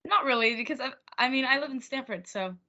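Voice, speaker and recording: A woman's voice: high voice, expressive, fast talker, sharp tone. A teenage American woman from Oregon, a singer chatting casually as an interview guest and laughing often. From Oregon, USA. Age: teens. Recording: dull lo-fi audio.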